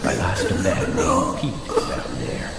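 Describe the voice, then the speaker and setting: An actor playing a cunning, mock-polite gang go-between in a video game, with a creaky voice and oily manner. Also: male voice, low voice, lively intonation, unhurried, full character voice. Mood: sly, oily. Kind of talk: video game cutscene acting. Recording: echoey room under loud music.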